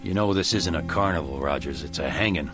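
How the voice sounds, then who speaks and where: Weary, very deep-voiced Western sheriff. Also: male voice, brisk, a bit bright, faint music behind. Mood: cold disapproval. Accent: American. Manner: terse, sardonic, world-weary. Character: weary, plain-spoken small-town Western sheriff, grown man. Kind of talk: radio drama acting.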